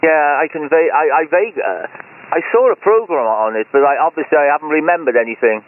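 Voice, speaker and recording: A British man speaks over a tinny ham radio link with light hiss. His fairly high voice swings widely in pitch as he chats agreeably, often halting and stumbling over words. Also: expressive. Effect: ham radio transmission. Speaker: amateur radio operator.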